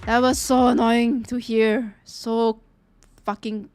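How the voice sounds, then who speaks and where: A woman live-reacting to a game story, expressive, emotionally invested and slangy, sometimes reading narration aloud. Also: fairly high pitch, slow pace, no room sound, soft background music.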